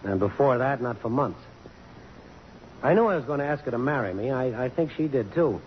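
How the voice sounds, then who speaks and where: A man's voice: medium pitch, expressive, brisk, close to an everyday voice. Guy acting wry persistent american photographer type, old radio drama, muffled sound w some noise. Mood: wry, wistful. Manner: wry, sardonic. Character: wry, persistent male photographer, jilted suitor. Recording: dull, noisy old radio broadcast recording.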